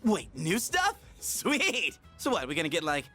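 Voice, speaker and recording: Animated, cocky teenage boy. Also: fairly high pitch, a little slow, soft background music, exaggerated voice. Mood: breezy, cocky. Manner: slangy, breezy, brash. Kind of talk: game voice acting.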